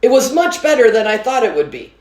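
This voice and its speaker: A woman reading a children's book aloud with an expressive storytelling manner. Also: on the high side, a little fast, reverberant. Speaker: story reader.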